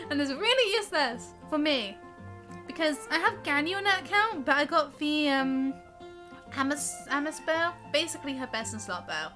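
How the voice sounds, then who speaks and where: A chatty, giggly, expressive woman live streaming a game in casual chat. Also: sits high, moderate pace. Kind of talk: casual livestream chat with reactions and read-along comments. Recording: game music behind the voice. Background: game music.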